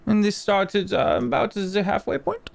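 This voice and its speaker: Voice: mid-range voice, normal speed, gravelly. A game master narrating a tabletop game in a playful, teasing way, often hesitating with fillers.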